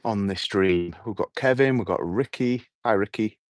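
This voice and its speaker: A middle-aged man with a hoarse voice, hosting a relaxed, rambling evening livestream outdoors and chatting with his viewers. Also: lowish voice, normal speed, no echo, studio quality. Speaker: livestream host. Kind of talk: casual evening livestream chat. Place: outdoors at night.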